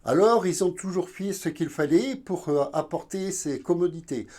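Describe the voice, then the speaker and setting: A man from southern France hosting an educational video, explaining informally in a halting, didactic way. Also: sits high, warm tone. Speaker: video channel host.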